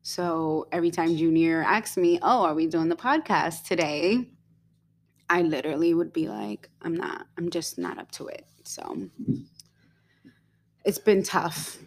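A young woman co-hosting a casual podcast, talking in a rambling way with many fillers and quick giggles. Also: low voice, animated. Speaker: podcast co-host.

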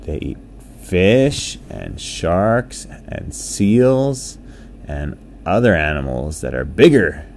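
A low-voiced man tells a bedtime story to a child at an unhurried pace. His tone is soft-edged and mellow, his phrasing simple and repetitive, over a faint background noise. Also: sing-song.

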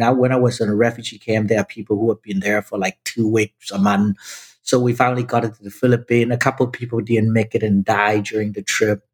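A man in his fifties from Vietnam, a native Chinese speaker, telling his story emphatically as a podcast guest and often addressing the host directly. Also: medium pitch. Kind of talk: personal storytelling in conversation.

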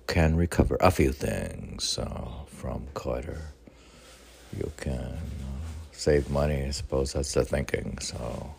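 A man reading his own poetry, with rambling casual asides in an unhurried, halting, wry way. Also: extremely low pitch, creaky, mellow, breathy edge. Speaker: poet reading own work.

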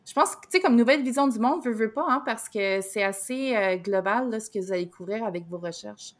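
A woman's voice: a little high, brisk. A woman with a Québécois accent co-hosting a podcast, chatty and loose, full of fillers and restarts. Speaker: podcast co-host. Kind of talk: casual interview chat.

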